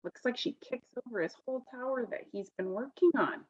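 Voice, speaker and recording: A woman reading a picture book aloud to children, expressively, pausing to comment and ask simple questions. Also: a little high, dull audio, slight background noise. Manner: expressive, asks simple questions of young listeners. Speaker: storybook reader.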